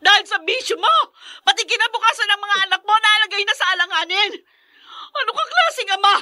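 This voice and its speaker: A woman's voice: extremely high pitch, fairly quick, bright, forceful tone, no echo, clean studio sound, heavily acted. A woman playing a fierce, fed-up wife and mother in a Filipino radio melodrama, with a Filipino accent. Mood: bitter, desperate.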